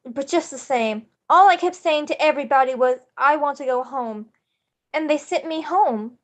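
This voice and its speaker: A woman's voice: high-pitched, normal speed, lightly acted. A woman playing an earnest, pleading young farm girl, tearful and wide-eyed, in a screenplay table read. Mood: tender, yearning. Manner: pleading, emphatic.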